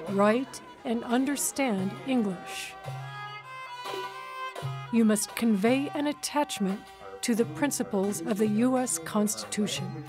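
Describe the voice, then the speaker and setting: A woman giving a measured, scripted documentary narration. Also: fairly high pitch. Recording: voice-over with music beneath.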